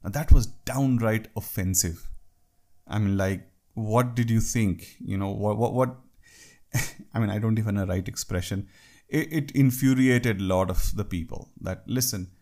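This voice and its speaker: A man's voice: fairly low pitch, darkish tone. A man from India with an Indian English accent, talking through workplace etiquette in a conversational way with wry asides. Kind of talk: talk on workplace culture.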